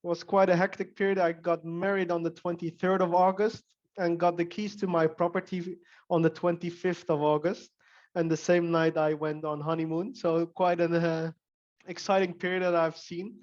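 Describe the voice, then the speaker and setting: A man's voice: a little high, level tone, moderate pace, a little mellow. A young man introducing himself as a mortgage consultant in a friendly, self-joking way. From Netherlands. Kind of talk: casual introduction. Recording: muffled call audio.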